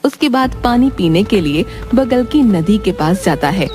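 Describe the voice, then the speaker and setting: A woman's voice: medium pitch, animated, measured pace, a little mellow. A woman narrating a scripted children's cartoon story in Hindi. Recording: music behind the voice. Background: background music.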